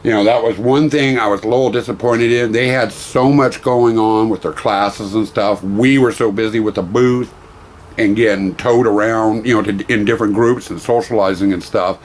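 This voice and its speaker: A hoarse, slightly raspy middle-aged man talks at length in a low voice, rambling and effusive as he recounts and thanks people. He sounds a bit worn out, and there is a faint hum of room ambience around him. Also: fairly even intonation, moderate pace, low-quality recording. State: hoarse, losing his voice. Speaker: vlogger. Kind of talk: casual vlog talk.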